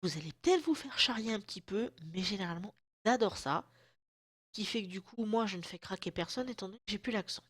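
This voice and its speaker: A woman in her thirties chats to her viewers in loose, slangy, self-mocking talk, her throat still a bit sore from a lingering cold. Her voice sits at an ordinary middle pitch. The sound is close and dry, with almost no room around it. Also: expressive, quick pace, no echo. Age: 30s. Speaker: vlogger. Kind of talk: casual chat to camera. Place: at home, indoors.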